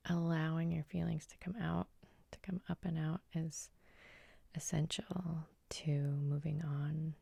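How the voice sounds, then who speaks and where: Woman whispering very slowly, breathy and crackly. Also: deep voice, level tone, dry recording. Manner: gentle, soothing, unhurried. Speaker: hypnotist and host. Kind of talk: whispered ASMR guided sleep hypnosis.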